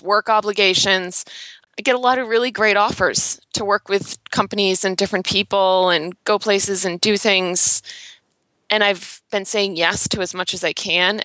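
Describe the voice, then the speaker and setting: A woman in her thirties talks openly and reflectively as a podcast guest, with a bright, forceful tone. The sound is close and dry, with no room around it. She falls into filler words and small laughs as she thinks aloud. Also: medium pitch, no echo, rough recording. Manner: earnest, self-reflective, laughs lightly, many "um" and "like". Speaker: interior designer and blogger, guest. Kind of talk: candid podcast interview chat.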